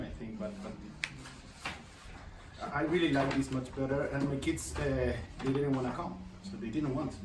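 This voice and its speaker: A man, a parent, giving an informal testimonial speech. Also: medium pitch. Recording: echoey room, distant mic, clear noise.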